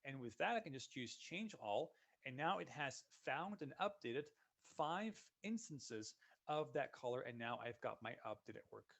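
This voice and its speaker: A man, a solutions consultant giving a relaxed, conversational walkthrough of software in a live webinar. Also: medium pitch, normal speed, gravelly. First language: Dutch. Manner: relaxed, conversational walkthrough narrating each click.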